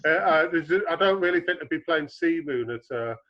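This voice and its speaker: A man with a low baritone voice, a guest author in a podcast interview, explaining at length with homely comparisons. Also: expressive, a little fast, lo-fi sound.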